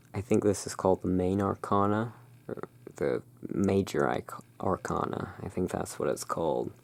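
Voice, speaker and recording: A person speaking in a halting, musing way, explaining things as the owner of a gothic curiosity shop in an ASMR roleplay. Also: deep voice, gravelly, a little mellow. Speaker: roleplaying host. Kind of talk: ASMR roleplay, showing tarot cards.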